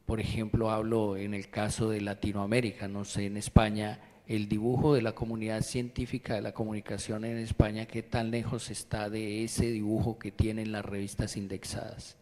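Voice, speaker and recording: A man, an audience member asking a question at an academic conference, pausing often as he searches for words. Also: on the low side, level tone, a little mellow, big-room sound. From Colombia. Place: university auditorium.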